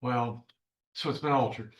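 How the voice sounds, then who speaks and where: A male defense attorney making courtroom objections and asking questions in a halting but insistent way. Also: mid-range voice.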